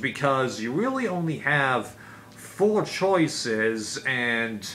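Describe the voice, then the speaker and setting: A man's voice: mid-range voice, fairly bright tone. A man giving a casual, unscripted review as a video host, explaining things in a rambling way. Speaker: video host, DVD collector. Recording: clear background noise.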